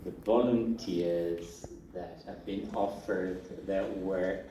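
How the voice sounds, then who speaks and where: An event organizer gives the audience instructions, hesitant and full of restarts. His voice is fairly low and his pace is slow. The sound is far off and roomy, with faint noise behind. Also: fairly even intonation. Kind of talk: informal announcements to an audience. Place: large hall at a literature event. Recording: echoey hall, far from the mic, faint noise.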